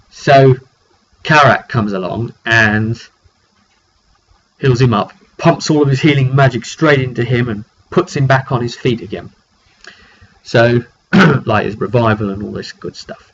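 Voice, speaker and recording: Dull lo-fi recording, halting male game master. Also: medium pitch. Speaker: game master recounting a tabletop session. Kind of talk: casual, improvised storytelling of a fantasy roleplay game. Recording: dull lo-fi audio with faint noise.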